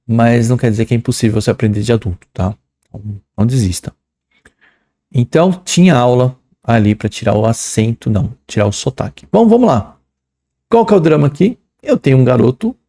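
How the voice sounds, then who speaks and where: A man's voice: medium pitch, creaky, warm tone. A young adult man with a São Paulo accent teaching an English exam lesson, chatty and slangy, reading English lines and translating them. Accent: São Paulo Portuguese. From São Paulo, Brazil.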